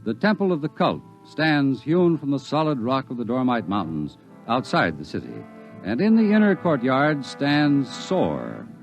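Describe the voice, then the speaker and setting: A man narrating a 1950s radio drama in a dramatic, measured way. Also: mid-range voice, big pitch swings. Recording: dull old radio recording with music.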